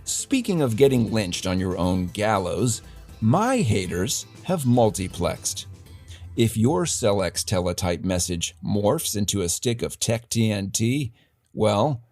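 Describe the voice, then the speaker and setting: A man's voice: medium pitch, full character voice. Man playing a sardonic, wildly swooping dystopian radio host. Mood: sardonic, defiant. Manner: theatrical, sardonic, mock-grand. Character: wry, defiant male radio news host in dystopian future city. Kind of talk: satirical scripted newscast monologue.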